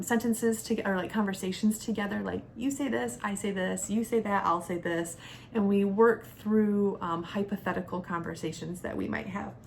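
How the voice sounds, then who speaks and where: A woman in her thirties giving a casual, conversational product review as a homeschooling mom, explaining things step by step. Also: a little mellow. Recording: clear background noise with low rumble.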